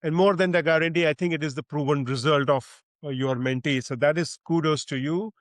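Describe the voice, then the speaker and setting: A man's voice: a little high, steady tone, a little fast, no room sound. A man hosting a podcast and introducing his guest in an effusive, formal way, with frequent "uh" fillers. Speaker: podcast host.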